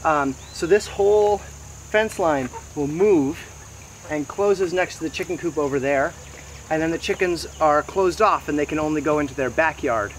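Higher-voiced man, distant, in noisy outdoors. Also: moderate pace. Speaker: host giving a garden tour. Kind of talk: casual walking tour narration to camera. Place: outdoors in a wooded backyard garden. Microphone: camera mic several meters away. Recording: distant camera mic, noisy outdoor ambience. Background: insects and outdoor noise.

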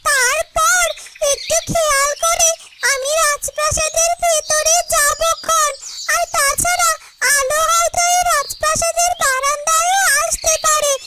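Tiny, very high-pitched cartoon bird. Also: female voice, fairly even intonation. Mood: cautious, hopeful. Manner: slow, deliberate, thinking aloud. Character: small cautious yellow bird, talking to itself. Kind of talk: Bengali cartoon fairytale dialogue.

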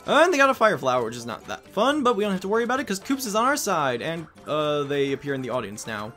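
A man's voice: on the high side, very animated, quick pace, sharp tone. A man casually commenting on a video game as he plays, rambling with jokey asides. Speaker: let's play host. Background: game music.

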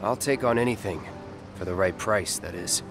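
Deadpan young American mercenary. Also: male voice, sits low, normal speed, vocal fry, over music. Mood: cool, terse. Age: young adult. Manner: clipped, deadpan. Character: aloof, cocky young male mercenary, terse. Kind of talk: video game cutscene acting.

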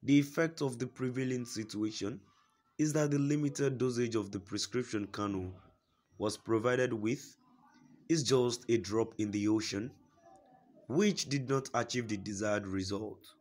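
A man reading a news report aloud in a steady, formal way, quoting a statement at length. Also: medium pitch, measured pace. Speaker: news reader.

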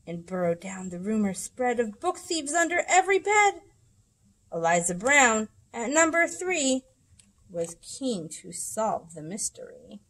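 Woman reading rhymes aloud, high lively voice. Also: rough recording. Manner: dramatic, deliberate storybook phrasing. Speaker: librarian reading picture books aloud. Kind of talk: expressive rhyming read-aloud for children. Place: indoors in a library room.

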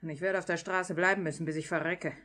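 A woman's voice: lowish voice, steady tone, quick pace, fairly bright tone. An actress playing a bitter, defiant young female street thief in a 1950s radio play, biting and dramatic. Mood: bitter, accusing.

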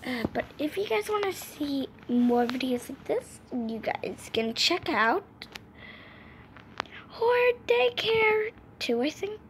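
High-voiced young woman over noisy phone audio. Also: sing-song, slow pace. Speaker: host of a gaming channel. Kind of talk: improvised role-play gameplay narration. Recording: noisy amateur phone screen recording.

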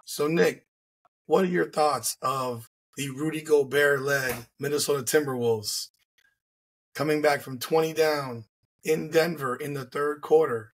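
A hoarse man, getting over the flu, hosting a casual sports podcast with wry, teasing remarks over a video call from home. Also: medium pitch, fairly bright tone. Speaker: podcast host. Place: home room with shelves. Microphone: video call, headset.

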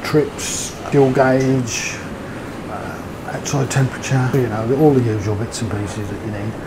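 Man, mid-pitched, casual rambling review, ums and uhs, noisy background hiss. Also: steady tone, unhurried. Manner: chatty, hesitant with fillers. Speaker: motovlogger.

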